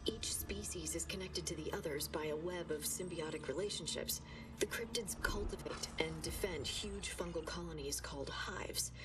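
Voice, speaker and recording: A low female voice from an actress as an uneasy scientist in a video game. She narrates a research log earnestly, a little distant from the mic, with clear music underneath. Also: natural voice. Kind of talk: scripted audio-log narration.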